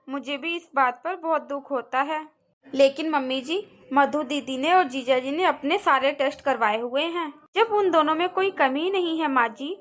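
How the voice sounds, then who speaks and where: A young cartoon daughter-in-law, played by a woman, speaking in a clearly high voice. She is respectful and earnest, pleading her case with a worried air. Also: fairly bright tone. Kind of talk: cartoon family drama acting.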